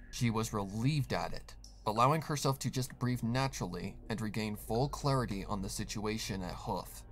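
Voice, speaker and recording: A man narrating a scripted horror story in a dramatic reading style. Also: medium pitch.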